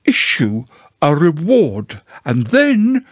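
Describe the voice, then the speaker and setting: Man doing a pompous, swooping, slow storybook owl. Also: on the high side, huge pitch swings, no echo, studio quality, pitched above the performer's own voice, heavily acted. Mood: officious, instructive. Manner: pompous, drawn-out delivery of formal phrases. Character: pompous, long-winded male owl, self-important, easily affronted. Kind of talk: storybook character voice.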